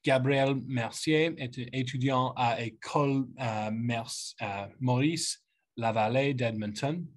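A man in his thirties with an English-speaking Canadian accent delivers a formal welcome over a video call from an indoor office. English is his first language, so he speaks French haltingly and stops often to search for words. His pitch sits in an ordinary middle range for a man. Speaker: politician hosting a youth panel. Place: office with lamp and bookshelf, indoors. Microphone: webcam on a video call.

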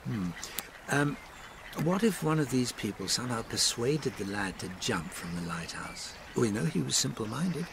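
A loyal English doctor from a radio mystery, plain-spoken and sceptical. His gravelly voice rises and falls expressively as he thinks aloud and gently grumbles. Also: medium pitch, big pitch swings, normal speed, background noise. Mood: pondering. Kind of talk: BBC radio drama acting.

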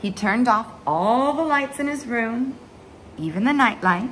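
A young woman reads a children's storybook at an unhurried pace. Her high voice swings widely and dramatically, with drawn-out words and hushed asides. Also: lively intonation, a little hiss or hum, poor audio. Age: young adult. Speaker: story time host reading a picture book aloud. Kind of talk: expressive children's storybook read-aloud. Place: small bright room indoors at home.

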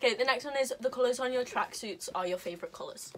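A young woman trading quick, teasing remarks with friends in a small bedroom. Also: fairly high pitch, slight background noise. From Merseyside, England. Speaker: vlogger, dancer. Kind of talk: casual group chat.

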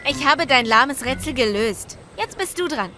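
A woman's voice: high-pitched, expressive, moderate pace, over music. A woman voicing an earnest, determined young pony who gets exasperated, in a cartoon audio drama. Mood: defiant.

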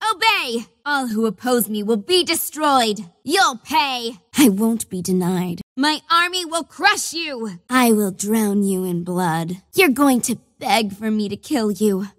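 A zealous young warlord with a fairly high voice, declaiming menacingly like a dark cult's chosen leader. She is imperious and theatrical, a video game villainess recorded dry and close. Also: lively intonation, takes it slowly, few pauses, no room sound. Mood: commanding, vengeful. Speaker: voice actress.

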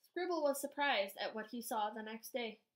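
Teacher lady reading a kids book out loud, high pitched, super animated up and down, expressive. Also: moderate pace. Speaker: art teacher. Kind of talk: children's storybook read-aloud.

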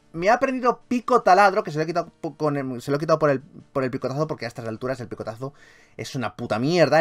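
A man with an accent from Spain doing casual game commentary as a YouTube host, enthusiastic, playfully grandiose and freely swearing. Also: medium pitch. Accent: Spanish from Spain.

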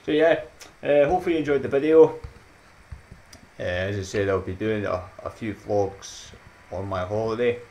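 A man's voice: on the low side, normal speed, darkish tone. A Scottish man in his thirties chatting casually to his viewers in a rambling, upbeat way, laughing at his own jokes and dropping motivational sayings. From Scotland. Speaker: YouTuber. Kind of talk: casual chatty vlog outro. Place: small dim room at home.

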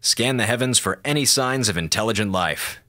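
Wry, low-voiced space slacker. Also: male voice, animated, moderate pace, bright, forceful tone, dry recording, studio quality. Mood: wry, drawling. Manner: sarcastic, theatrical. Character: wry, slacker space station communications officer, talkative and irreverent. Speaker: actor playing a communications officer. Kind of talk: radio drama monologue as a log.

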